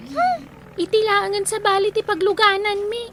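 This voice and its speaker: A woman's voice: very high-pitched, moderate pace, background noise. A woman with an Ilocano accent playing a choosy, frank village passenger in a comedy drama. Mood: disdainful. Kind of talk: barangay comedy drama acting.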